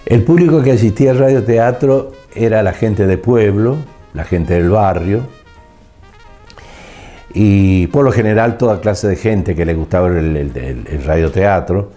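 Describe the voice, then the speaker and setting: An older man with an Argentine accent reminisces in an interview, speaking haltingly as he searches for words. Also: lowish voice, dark tone, faint music behind.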